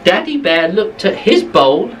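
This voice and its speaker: A woman's voice: mid-range voice, big pitch swings, a little slow, recorded from a distance, slight background noise. A woman telling a bedtime story to young children, expressively, repeating phrases for emphasis, slightly away from the mic.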